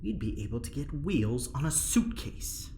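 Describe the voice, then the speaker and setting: A woman's voice: very deep voice, sing-song, moderate pace, dark tone, heavily acted. A woman playing a put-upon, sarcastic travel companion in an audio drama, dry and grumbling. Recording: roomy sound, faint noise.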